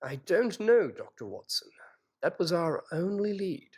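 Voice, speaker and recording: An actor playing a formal, put-upon Victorian police inspector in an audio drama, official and courteous. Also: male voice, high-pitched, big pitch swings, unhurried, darkish tone, husky, full character voice. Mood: glum.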